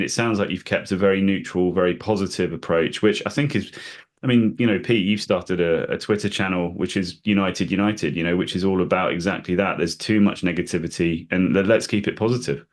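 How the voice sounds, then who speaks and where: Low-voiced host guy, long winding questions, keeps restarting. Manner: rambling, halting questions full of restarts. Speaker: podcast host. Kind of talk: casual interview conversation.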